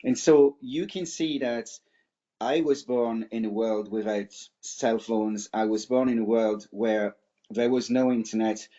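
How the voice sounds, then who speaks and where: A man's voice: lowish voice, a little slow, dark tone, dull audio. A middle-aged man from France with a French accent, giving a webinar presentation in a hesitant way, with many fillers and restarts.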